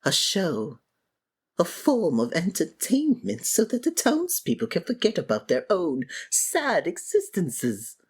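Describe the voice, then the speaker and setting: A woman playing a sardonic, theatrical supervillain who monologues and taunts in a scripted ASMR roleplay, with a breathy voice. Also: lowish voice, wildly swooping pitch, a little slow, heavily acted. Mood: dawning, deflated.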